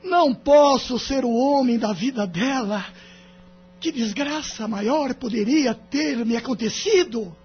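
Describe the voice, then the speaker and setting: A man's voice: very high-pitched, unhurried, slightly rough, full character voice. A Brazilian man playing a distressed, wounded husband in an audio drama, with a plaintive, dramatic delivery. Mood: anguished. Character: distressed, wounded husband, stressed smoker, in divorce court. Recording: dull lo-fi audio, music underneath.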